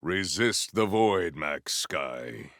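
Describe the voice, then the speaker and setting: A man voicing a stoic, honourable warrior in a video game, speaking in formal, stately phrases with a gravelly voice. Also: low voice, animated, fairly bright tone, no echo, studio quality, full character voice. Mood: solemn. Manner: formal, stately, measured diction.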